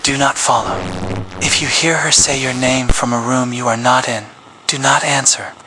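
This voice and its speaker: A man reading a scripted public safety announcement as an emergency broadcast announcer, in a grave, measured official tone. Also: medium pitch, fairly even intonation, background noise. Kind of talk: scripted horror narration.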